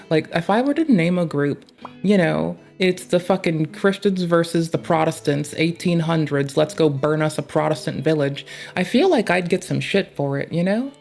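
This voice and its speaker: A woman's voice: low-pitched, vocal fry, a little mellow. A woman streamer giving a profane, rambling live commentary.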